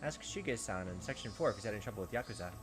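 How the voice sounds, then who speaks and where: A man's voice: lowish voice, lively intonation, racing, over music, close to an everyday voice. A man voicing a blunt, callous police detective in a visual novel read-aloud. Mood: businesslike.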